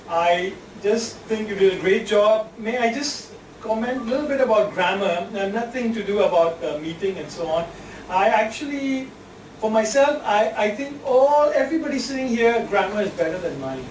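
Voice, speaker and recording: A woman's voice: medium pitch, a little mellow. Chatty woman. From India. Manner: chatty and self-deprecating, laughing easily. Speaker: general evaluator at a speaking club. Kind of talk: informal meeting remarks. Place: club meeting room. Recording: echoey room, distant mic, air conditioning hum.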